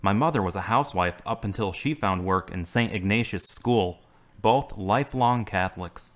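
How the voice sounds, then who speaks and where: A middle-aged actor playing an earnest, devout man recovering from surgery in a radio drama, speaking in a measured way. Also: lowish voice, lightly acted.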